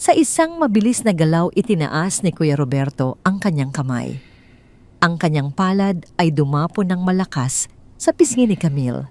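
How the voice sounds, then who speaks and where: A woman narrating a dramatic first-person story in measured, cold tones. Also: lowish voice, darkish tone.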